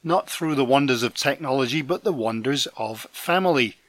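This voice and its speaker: A man hosting a podcast news roundup, his voice deepened by a head cold, reading from a script with dry, self-deprecating asides. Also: expressive, moderate pace, dry recording. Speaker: podcast host and trade magazine editor. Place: home in Scotland.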